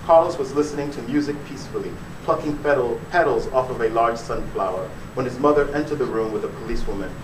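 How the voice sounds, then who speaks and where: A man's voice: mid-range voice, fast, hardly any pauses. A man, an author giving a dramatic reading of his fiction at a live bookstore event. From born in Puerto Rico, raised in the Bronx, New York. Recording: echoey room, distant mic, noisy.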